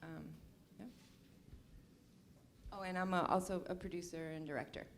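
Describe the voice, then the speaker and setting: Relaxed woman. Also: fairly low pitch, moderate pace, a little hiss or hum. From Colombia. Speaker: panelist, producer and director. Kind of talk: panel self-introduction.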